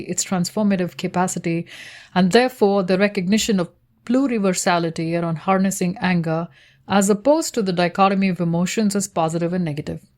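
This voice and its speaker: A woman whose first language is Tamil, reading her academic thesis aloud in a measured way, citations and page numbers included. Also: on the low side, darkish tone. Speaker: researcher explaining her thesis.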